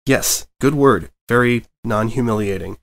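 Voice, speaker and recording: A man's voice: mid-range voice, measured pace, gravelly. A man voicing a prim, bookish young female unicorn scholar who is easily exasperated and earnest.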